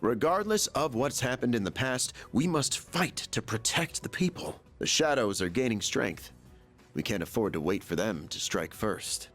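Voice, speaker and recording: A man's voice: mid-range voice, lively intonation, moderate pace, fairly bright tone, faint music behind. A man playing a genial, persuasive middle-aged school chairman in a video game, explaining things eagerly. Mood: rallying.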